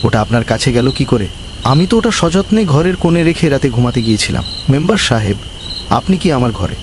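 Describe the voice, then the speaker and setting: A man acts a simple, honest, poor villager in a moral cartoon. His mid-pitched voice is polite and earnest. Noise and chirping insects are clear behind him. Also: sing-song, fast talker, natural voice. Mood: puzzled, concerned. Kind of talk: earnest cartoon story acting.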